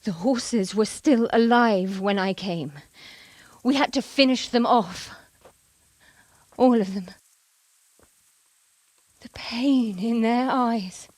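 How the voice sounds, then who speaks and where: Casting: bereaved medieval countrywoman, game drama, unhurried broken phrasing, close dry sound. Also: on the high side, darkish tone, no echo. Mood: anguished. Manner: halting, sorrowful. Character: grieving widowed medieval horse breeder, stricken and bitter. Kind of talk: dramatic video game acting.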